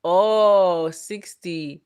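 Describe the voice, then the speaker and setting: A woman's voice: fairly low pitch, extremely slow, darkish tone, clear, resonant tone. A woman in her twenties hosting a casual livestream, reading viewer comments aloud in a playful, teasing way and laughing often. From Ghana. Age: 20s. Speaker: livestream host.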